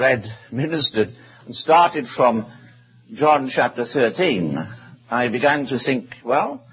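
Husky-voiced Welsh preacher, lo-fi recording. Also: male voice, mid-range voice. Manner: measured, stretches out scripture verses. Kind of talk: sermon with scripture reading. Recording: dull lo-fi recording.

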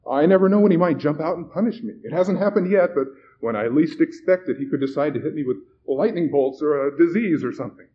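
A man's voice: a little high, expressive, fast, hardly any pauses, pitched above the performer's own voice, lightly acted. A man voicing a nervous, frightened man in a storybook, quavering, stammering and full of self-blame. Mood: panicky. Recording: muffled recording with background noise.

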